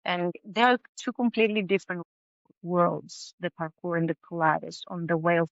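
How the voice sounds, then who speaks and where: A woman's voice: lowish voice, fairly even intonation, moderate pace, vocal fry, no room sound, lo-fi sound. A woman from Greece with a Greek accent, a Pilates teacher chatting as a podcast guest in her second language, sometimes searching for words. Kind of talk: casual conversation.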